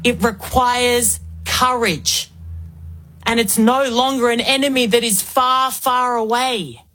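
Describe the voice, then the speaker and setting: A woman's voice: a little high, slow, sharp tone. An Australian woman in her forties, filling in as a talk radio host with an emphatic, rhetorical political monologue. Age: 40s.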